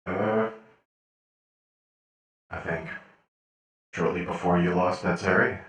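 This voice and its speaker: Man playing a deep, echoing cyborg prisoner. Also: far from the mic. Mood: terse, guarded. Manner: terse, unhurried, deadpan. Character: menacing captured cyborg assassin, terse and cold. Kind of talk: improvised sci-fi role-play in a game.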